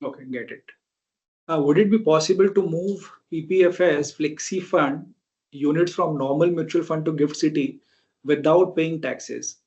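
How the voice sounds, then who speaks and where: A man's voice: a little high, normal speed, darkish tone. A man with an Indian accent moderating a conference call and reading questions aloud. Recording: dull, lo-fi conference call audio.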